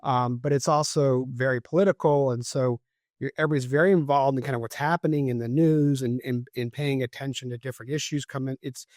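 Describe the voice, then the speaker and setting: A man's voice: medium pitch, a little mellow, no room sound, studio quality. A man in his fifties from the Boston area, talking reflectively as a podcast guest and often checking in with "right?". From Cambridge, Massachusetts. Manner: reflective, tags points with "right?". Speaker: guest, former FBI agent and CEO. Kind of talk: conversational podcast interview.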